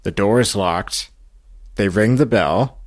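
A man with a low voice hosts a recap podcast. He talks through the story conversationally, recorded close and dry. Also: slow pace, no echo. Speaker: podcast host. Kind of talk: conversational recap of a radio show.

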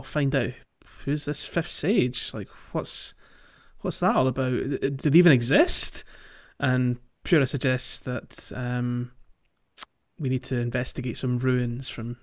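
Man chatting on a dull-sounding recording. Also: medium pitch. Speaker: podcast co-host. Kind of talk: casual gaming podcast chat.

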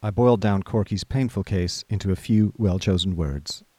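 A man playing a genial, idle young gentleman who narrates his own story in a radio comedy. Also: deep voice, moderate pace, slightly rough, dry recording. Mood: wry storytelling. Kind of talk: scripted first-person narration in a radio comedy.

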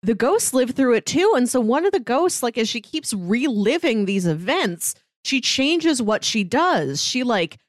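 A woman's voice: on the high side, sing-song, a little slow, hardly any pauses, no echo, studio quality. A woman co-hosting a casual review chat, retelling stories in a conversational way. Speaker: podcast co-host reviewing audio drama.

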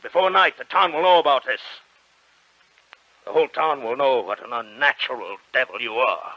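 A man's voice: mid-range voice, moderate pace, bright, forceful tone, a little hiss or hum, natural voice. An actor playing a stern, accusing father with a cold manner, in old-time radio acting. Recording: dull old radio broadcast recording.

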